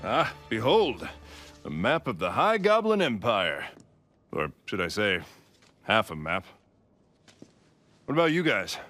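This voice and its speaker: A man's voice: lowish voice, very animated, measured pace, long pauses, bright, forceful tone, exaggerated voice. A man playing a wry, easygoing adventurer uncle and pilot in a video game cutscene. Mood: casual, assured. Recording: music behind the voice.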